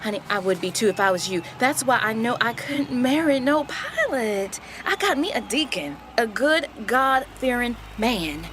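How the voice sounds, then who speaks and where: Sassy, judgmental church lady played by a woman, high voice, radio drama, faint noise behind. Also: big pitch swings, moderate pace, few pauses. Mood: gossipy, scolding. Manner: sassy, opinionated, chatty. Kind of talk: stage-play dialogue for radio.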